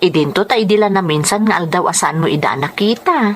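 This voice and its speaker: An actress playing an earnest, gentle young mother in a radio drama, speaking with feeling. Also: lowish voice, animated, a little fast, lightly acted. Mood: tender. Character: earnest, pleading young mother, tender with her child. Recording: faint background noise.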